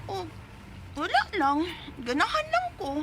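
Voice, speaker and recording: A woman acting a lively, caring single mother of thirty in a Cebuano radio drama, speaking expressively with a Cebuano accent. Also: very high-pitched, a little slow, heavy background noise, heavily acted. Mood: bantering. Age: 30s. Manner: expressive, animated. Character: 30-year-old single mother, lively, caring, worried about her child. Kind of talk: Cebuano radio drama, letter narration and dialogue.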